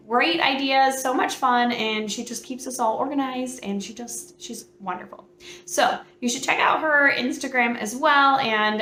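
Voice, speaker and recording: A woman hosting her book channel, chatting casually and excitedly to camera, a bit hoarse from a cold, with plenty of fillers. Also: on the high side, reverberant, slight background noise. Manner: bubbly, chatty, many fillers.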